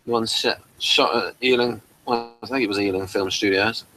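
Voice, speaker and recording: A man's voice: lowish voice, steady tone, normal speed, pushed and bright, a little hiss or hum, rough recording. A man in casual discussion, hesitant and searching for his words as he goes. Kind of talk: casual chat about old television.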